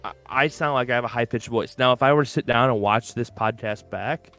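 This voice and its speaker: A man's voice: medium pitch, brisk, a bit bright, faint music behind. Rambling man on a podcast. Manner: rambling, heavy use of "like". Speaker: podcast co-host. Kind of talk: casual chat. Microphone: open-ear headphones and desk mic.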